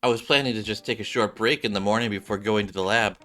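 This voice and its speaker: A man's voice: lowish voice, steady tone, fast, pushed and bright. A man voicing a tired, shy young woman researcher in a game, meek, reluctant and easily flustered. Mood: weary reluctance. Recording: background music behind the voice.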